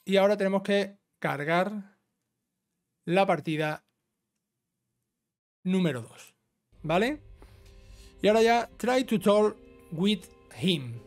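High-voiced Spanish man guiding through a game. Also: animated, takes it slowly, pauses often, soft background music. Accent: Spain. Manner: instructional, often ends phrases with a checking tag. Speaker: video game trophy guide host. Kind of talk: informal step-by-step game walkthrough commentary.

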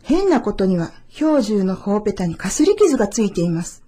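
A woman reading a children's tale as scripted narration, in a measured, expressive storytelling manner. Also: medium pitch, breathy edge.

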